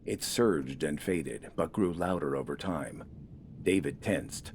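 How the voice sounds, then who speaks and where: Very deep-voiced man narrating a story. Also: slow, darkish tone, a little hiss or hum. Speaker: audiobook narrator. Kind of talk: scripted dramatic story narration.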